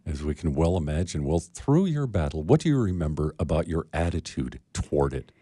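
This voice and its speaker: Very deep-voiced male radio host. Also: sing-song, a little fast, mellow, slightly rough, dry recording, studio quality. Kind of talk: radio interview.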